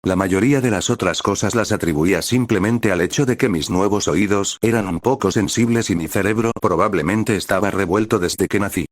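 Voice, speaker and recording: A man narrates a fan fiction story in a low, deep voice. His reading is even and measured, like a storybook told aloud. The sound is dry and close, with no room around it. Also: no echo.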